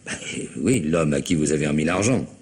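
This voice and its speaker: A police superintendent in an old radio mystery. His voice is extremely deep and rough-edged, and he questions with measured, apologetic courtesy and persistence. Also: lively intonation, a little mellow, heavily acted. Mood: probing. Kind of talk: vintage French radio drama acting.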